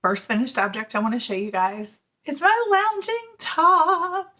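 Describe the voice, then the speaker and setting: Bright-voiced chatty woman in her forties. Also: high voice, very animated, measured pace. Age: 40s. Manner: chatty and giggly, with many fillers. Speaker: knitting podcast host; middle school teacher. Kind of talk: casual chat to camera.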